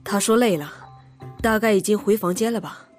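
A woman's voice: mid-range voice, moderate pace, faint music behind, lightly acted. A woman playing a polite, composed character in a radio drama, courteous and casual. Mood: polite, breezy. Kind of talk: broadcast drama acting.

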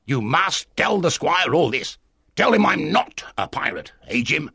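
A man voicing a half-crazy marooned old sailor in a storybook, with a strange, excitable, eager and wheedling way of talking. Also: fairly high pitch, vocal fry, breathy edge, dry recording, studio quality, voice pushed up, full character voice. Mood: eager, pleading. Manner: strange-voiced, excitable, drawn-out emphatic phrases. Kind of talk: dramatised storybook reading.

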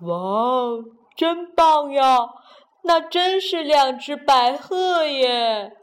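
A woman's voice: high-pitched, animated, takes it slowly, a bit bright, heavily acted. A woman voicing a small, cheerful and curious male frog in a children's storybook reading. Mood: dreamy, wondering.

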